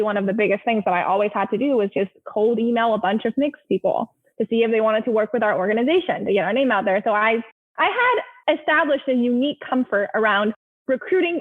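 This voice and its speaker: A woman's voice: on the high side, expressive, fast talker. A young woman from China, a guest in a casual interview, talking in a chatty way with many fillers. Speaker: guest, film project co-creator. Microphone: video call. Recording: tinny phone-like call audio.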